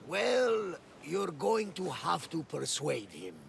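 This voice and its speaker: A man's voice: on the high side, big pitch swings, slow, a little hiss or hum, full character voice. A man playing a wily, coaxing seasoned sailor in a video game. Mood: sly, coaxing. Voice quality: gravelly. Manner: sly, coaxing. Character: wily, cajoling seasoned sailor, male, persuasive and roguish. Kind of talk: dramatic video game dialogue.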